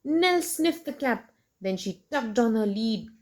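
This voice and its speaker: High, lilting woman reading to children. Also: measured pace. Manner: animated, addresses children directly with questions. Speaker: storybook reader for children. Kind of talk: expressive children's read-aloud with asides to the listeners.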